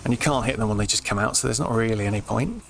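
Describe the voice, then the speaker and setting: A British man giving laid-back, explanatory let's play commentary in an informal way, his voice slightly croaky. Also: lowish voice, racing, fairly bright tone, a little hiss or hum. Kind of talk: casual game commentary.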